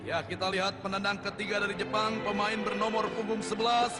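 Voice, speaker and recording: A man playing an excited football match commentator in a dubbed cartoon. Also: sits high, brisk, natural voice. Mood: eager. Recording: long stadium reverb, music and crowd behind.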